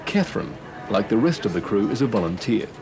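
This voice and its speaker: A man reading scripted documentary narration in a smooth, measured way. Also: fairly low pitch, very animated. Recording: voice-over with music behind.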